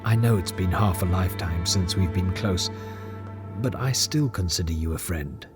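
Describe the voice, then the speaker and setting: A man's voice: low voice, normal speed, natural voice. An actor playing an eager, conciliatory male official who speaks earnestly. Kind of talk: audio drama acting. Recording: music bed underneath.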